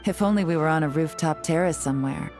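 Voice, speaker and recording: Game role: sardonic female agent, lowish voice, suave, measured, teasing poise, music underneath. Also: darkish tone. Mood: relaxed, teasing. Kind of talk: dramatic video game voice acting.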